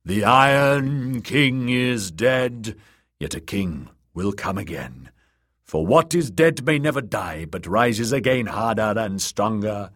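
A man's voice: on the low side, lively intonation, takes it slowly, a bit bright, voice pushed up, full character voice. A man voicing a bony, fervent priest-prophet, solemn and commanding, preaching in a fantasy audiobook. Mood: solemn proclaiming. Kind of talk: dramatised audiobook character voice.

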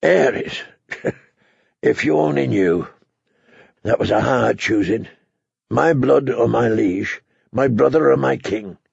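An unbending, bitter king acted by a man in a fantasy audiobook. He speaks in a husky, creaking voice with slow, humorless authority. The recording is dry. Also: medium pitch, a little mellow, no room sound, highs cut off, heavily acted. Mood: bitter, brooding. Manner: commanding, clipped, humorless. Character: stern, unbending, bitter king of iron will.

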